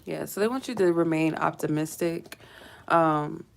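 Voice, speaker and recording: A woman hosting a live tarot reading with a stuffy cold, rambling with many fillers and dropping to quiet murmured prayers between cards. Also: deep voice, moderate pace, gravelly.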